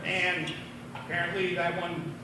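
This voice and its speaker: Casting: middle-aged American male amateur comic, high voice, rambling and halting, recorded from a distance in a very reverberant room. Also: little pitch movement. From Minnesota, United States. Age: 40s or older. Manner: rambling, halting delivery with tangents. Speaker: amateur stand-up comedian at an open mic. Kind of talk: stand-up comedy set. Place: bar open mic room. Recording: very echoey, distant room recording, clear noise.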